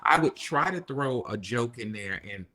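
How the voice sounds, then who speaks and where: A man talking as a podcast guest in a casual chat, telling lively stories and acting out what other people said. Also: mid-range voice, normal speed. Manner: animated storyteller, acts out quoted people.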